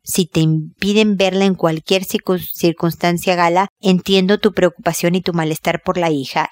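A woman's voice: on the low side, no echo. A middle-aged woman from Mexico with a Mexican accent, answering listeners in a conversational, reassuring and explanatory way, like an advice host. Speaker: host, advice-giving psychologist.

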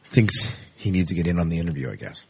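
An American man with a husky, fairly low voice hosts a casual interview. His intonation moves around in a lively way as he rambles informally through his questions. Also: fast talker, dry recording. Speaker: podcast host, interviewer.

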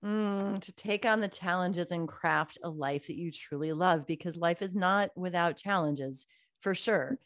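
A woman hosting a podcast interview, chatting casually with many fillers and drawn-out words. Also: on the low side, dry recording, clean studio sound. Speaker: podcast host.

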